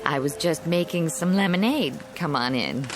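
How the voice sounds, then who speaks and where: An actress playing a chatty, hospitable small-town housewife with a genteel, effusive manner in a radio drama. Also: female voice, low voice, lively intonation, normal speed, over music. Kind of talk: naturalistic radio drama dialogue.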